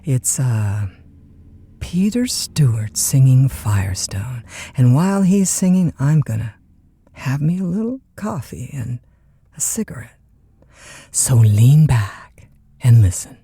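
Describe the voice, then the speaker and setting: An actress playing a chatty, easygoing overnight radio disc jockey in an audio drama, with a folksy, informal manner. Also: female voice, a bass voice, sing-song, slow pace, pauses often, warm tone, husky, full character voice. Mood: friendly on-air. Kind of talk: naturalistic audio drama acting.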